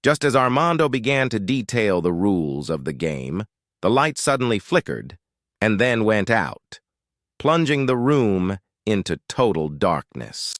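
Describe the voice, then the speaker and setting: A man's voice: lowish voice, big pitch swings, a little slow, dry recording, studio quality. A man narrating a suspenseful mystery story in a dramatic, scripted style.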